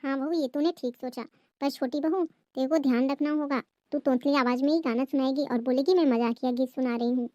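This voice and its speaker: A woman's voice: high voice, fairly even intonation, a little fast, a little mellow, no room sound, studio quality, lightly acted. A woman playing an anxious, scolding Indian mother-in-law in a family comedy skit. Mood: worried. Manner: fretful, scolding, gossipy.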